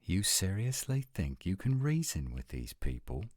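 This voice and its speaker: Male actor doing testy protective werewolf, deep pitch, emphatic and challenging, close dry recording, audio drama. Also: big pitch swings, measured pace, darkish tone, no room sound, heavily acted. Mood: incredulous, reluctant. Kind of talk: audio roleplay drama acting.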